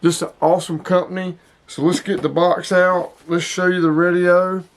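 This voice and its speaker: A man's voice: high voice, flat delivery, normal speed. A man in his sixties hosting a casual hobby product showcase, speaking emphatically and repeating himself to drive points home. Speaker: host of a hobby radio video.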